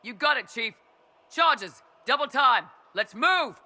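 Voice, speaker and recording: An actor playing a cheeky, loyal young mercenary lieutenant in a fantasy video game, brisk and wry. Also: male voice, extremely high pitch, animated, sharp tone, full character voice. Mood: rousing.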